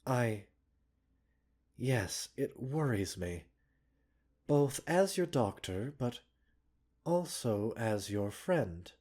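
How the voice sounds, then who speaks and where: A man playing a gentle, caring doctor in a roleplay ASMR, speaking softly and breathily with measured, polite, gently chiding formality. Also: medium pitch, sing-song, full character voice. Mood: concerned, chiding. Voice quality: soft, breathy. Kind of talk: roleplay ASMR, scripted character acting.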